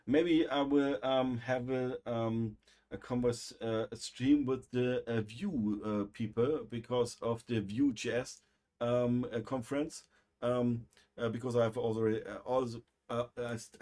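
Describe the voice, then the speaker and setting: A man with a German accent, a native German speaker, hosting a livestream and chatting casually, with a halting delivery full of pauses and fillers. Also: medium pitch, a little mellow. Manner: halting, many fillers and restarts. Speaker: livestream host.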